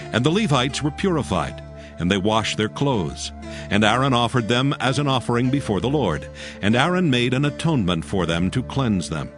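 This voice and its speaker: A man reading scripture as a narrator in a solemn, measured, dramatized style. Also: medium pitch. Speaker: Bible narrator. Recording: music under the voice.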